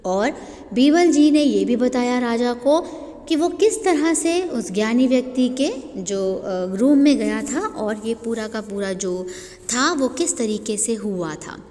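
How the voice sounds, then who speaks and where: A woman teacher with an Indian accent whose first language is Hindi, talking children through a worksheet and reading English answers slowly for dictation. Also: a little high, lively intonation, a little mellow, big-room sound.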